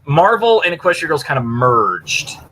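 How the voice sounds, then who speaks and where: A man with a bright, punchy voice talks as a podcast co-host. His delivery is casual and rambling, full of enthusiasm and loose swearing. There is some noise behind him. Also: on the high side, sing-song, normal speed, rough recording. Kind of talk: casual chat recommending fan fiction.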